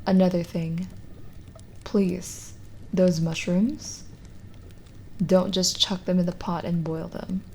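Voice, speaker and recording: A kindly, lonely witch, acted by a woman, speaks in a lowish, creaky voice, taking her time. She is gentle and intimate in ASMR roleplay style, explaining with soft hesitations. A faint noise sits behind her.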